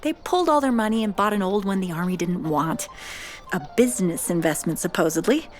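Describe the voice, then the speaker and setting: A woman acting a cagey, mid-pitched conspirator. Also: animated, fairly quick, soft background music, lightly acted. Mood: evasive, casual. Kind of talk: audio drama dialogue.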